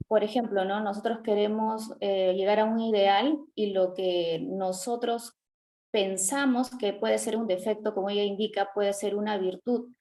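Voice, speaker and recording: A woman speaking as a panel guest in a casual discussion, reflective and measured. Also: medium pitch, fairly even intonation, warm tone.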